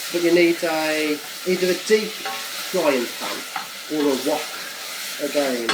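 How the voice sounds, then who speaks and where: British man in an echoey, noisy kitchen. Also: fairly high pitch. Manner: rambling, self-deprecating, offhand asides. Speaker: home cook presenting a recipe video. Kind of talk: casual, unscripted cooking demonstration. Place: home kitchen. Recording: echoey kitchen with frying, clattering noise.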